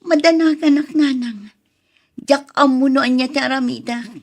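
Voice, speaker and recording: A woman playing a young woman in love, trusting and easily hurt, in a drama with a husky voice. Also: high-pitched, normal speed. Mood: worried. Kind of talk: Ilocano radio-drama style acting.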